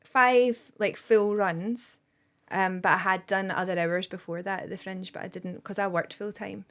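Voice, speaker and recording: A woman's voice: mid-range voice, fairly even intonation, normal speed, a bit bright. A Scottish woman in her thirties, a guest comedian rambling in casual podcast chat with plenty of "like" and "um". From Scotland. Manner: rambling, lots of "like" and "um", bursts of laughter.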